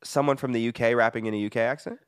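A man's voice: mid-range voice, steady tone, racing, clear, resonant tone. An American man, a guest recording artist, chatting in an easygoing way with loose, run-on phrases. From United States. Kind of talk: casual interview chat.

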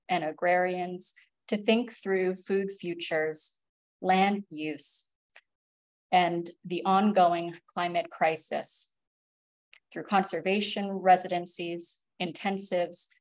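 A woman reading a prepared project statement in a measured, formal way on a video call. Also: fairly low pitch. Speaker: artist presenter.

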